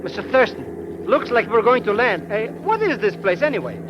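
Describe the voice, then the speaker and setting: A man's voice: sits high, big pitch swings, moderate pace. A man playing an eager, freeloading comic sidekick with florid, mock-pitiful speech in a vintage radio drama. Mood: wheedling. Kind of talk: 1940s-50s radio drama acting. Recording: noisy, muffled vintage radio recording.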